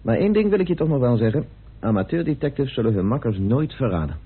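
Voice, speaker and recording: An actor playing a troubled, stubborn amateur detective with an earnest, brooding manner in an old Dutch radio drama. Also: male voice, medium pitch, sing-song, fast, slightly rough. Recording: noisy muffled tinny old radio recording.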